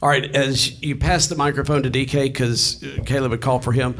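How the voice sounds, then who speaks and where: A man's voice: mid-range voice, steady tone, a little fast, a bit bright. A man moderating a panel with casual, teasing banter.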